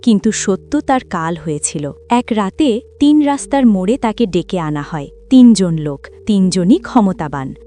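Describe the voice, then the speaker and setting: A woman's voice: mid-range voice, animated, moderate pace, dark tone. A woman reading a scripted, dramatic story as its narrator. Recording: music bed behind voice. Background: music.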